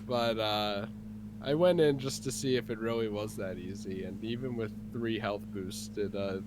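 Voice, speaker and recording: Stuffy-nosed guy, kinda low voice, rambly gamer chat, noisy mic. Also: moderate pace. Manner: rambling, explains game plans with fillers. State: congested, stuffy nose. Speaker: gaming friend on voice chat. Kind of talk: casual chat while gaming.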